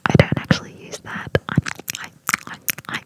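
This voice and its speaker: A young adult with an Australian accent whispering softly throughout an ASMR video, repeating words many times. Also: normal speed. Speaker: ASMR creator.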